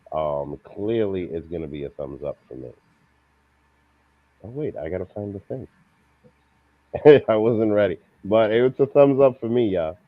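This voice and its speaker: A man with a fairly low voice hosts a review show in loose, informal chat. His pitch swings widely, and the sound is dry and a little dull. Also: no echo. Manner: animated, informal. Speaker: host of a film review show. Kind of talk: casual chat.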